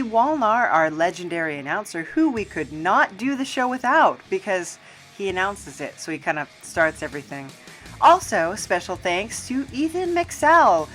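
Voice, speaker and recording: A woman's voice: medium pitch, fairly bright tone. A woman hosting a podcast in a casual, rambling, self-deprecating chat style. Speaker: podcast host.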